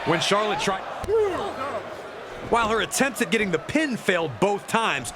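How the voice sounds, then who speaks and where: A man's voice: high voice, lively intonation, moderate pace. A man giving emphatic, dramatic, scripted narration of wrestling matches as a commentator. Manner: emphatic, dramatic storytelling delivery. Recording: loud crowd noise behind the voice.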